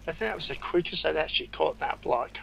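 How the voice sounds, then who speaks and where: A man's voice: on the high side, fast talker, vocal fry, fairly bright tone, muffled recording. A man giving casual, off-the-cuff commentary as he plays a video game, musing half to himself. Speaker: gamer giving playthrough commentary. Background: video game sound and music.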